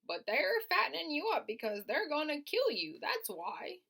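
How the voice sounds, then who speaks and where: A blunt, gossipy old ewe from a storybook, played by a woman reading aloud. She sounds raised and loud, with a gravelly texture. Her delivery stays flat and matter-of-fact. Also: sits high, normal speed, voice pushed up, exaggerated voice. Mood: knowing.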